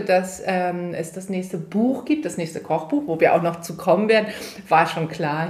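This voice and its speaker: A woman's voice: mid-range voice, a little mellow. Podcast host lady, chatty and laughy, rambles into her questions, roomy echo. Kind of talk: casual podcast interview.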